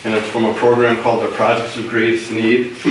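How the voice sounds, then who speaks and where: An American man with a voice roughened by a cold, informally presenting to a meeting. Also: mid-range voice, flat delivery, normal speed. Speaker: grant presenter at council meeting. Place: indoor meeting room, far mic. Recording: echoey room, distant mic, faint noise.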